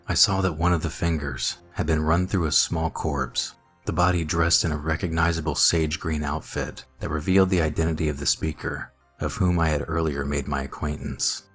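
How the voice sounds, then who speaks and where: A man narrating a scripted first-person horror story in a measured, dramatic way. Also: a bass voice, fairly even intonation, a bit bright. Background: music.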